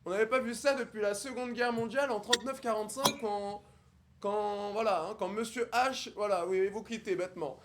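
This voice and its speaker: A young man doing a haughty, condescending posh snob with a high voice and stiff, affected formal diction. His speech is pompous and mocking. A soft hiss of background noise sits under it. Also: fairly even intonation, normal speed. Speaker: livestreamer on a video chat app. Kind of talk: improvised character roleplay with strangers.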